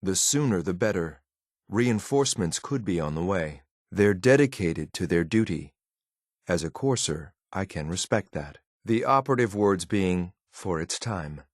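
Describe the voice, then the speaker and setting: Low, clipped, cold synthetic enforcer. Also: male voice, a little slow, no echo, studio quality. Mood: cold, deadpan. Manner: clipped, deadpan, formal. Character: cold, ruthless synthetic male enforcer, militarily efficient. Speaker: video game voice actor. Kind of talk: scripted game companion voice lines.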